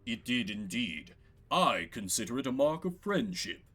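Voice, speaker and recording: A man voicing a courteous, fatherly older male leader in a fantasy game, speaking formally and with measured eloquence. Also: mid-range voice, very animated, a bit bright, slightly rough. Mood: fond.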